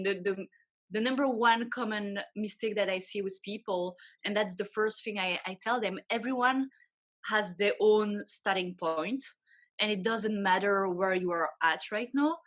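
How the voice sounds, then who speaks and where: A woman's voice: mid-range voice, fairly bright tone. A pregnant woman in her thirties from Belgium with a French accent, earnestly explaining finance in a casual interview. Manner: quick, earnest, restarts sentences. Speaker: guest, financial advisor.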